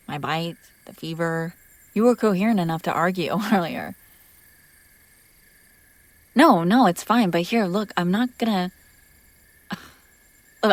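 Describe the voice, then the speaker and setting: A woman acts out a young female vampire master in an intimate roleplay audio drama. Her voice sits a little low and is recorded very dry and close. She talks casually and haltingly, teasing and protective, slipping at times toward a breathy whisper. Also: no room sound, natural voice. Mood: reassuring, hesitant.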